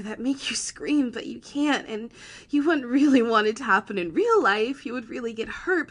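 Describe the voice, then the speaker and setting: A woman playing a giggly, confiding teenage girl at a sleepover in an audio drama, halting and nervous. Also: sits high, a bit bright. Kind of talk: intimate audio drama acting.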